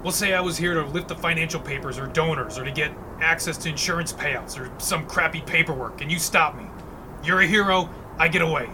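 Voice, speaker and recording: An actor playing a cocky male ice-powered supervillain who smoothly bargains his way out of a fight. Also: fairly high pitch, brisk, noisy background, natural voice. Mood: wheedling.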